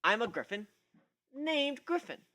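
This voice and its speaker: Young male griffin, acted by a man: excitable wisecracker, bright, animated and theatrical, for a story reading. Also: very high voice, measured pace, voice pushed up, exaggerated voice. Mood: feigned confusion. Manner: sarcastic, theatrical.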